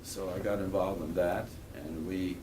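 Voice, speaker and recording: This is an older man with a deep, low voice. He talks to schoolchildren haltingly and earnestly, often breaking off and starting a phrase again. He sits well back from the mic in a school room, with steady background noise. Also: distant mic. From Poland. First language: Polish. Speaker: guest speaker. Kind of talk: talk and Q&A with schoolchildren.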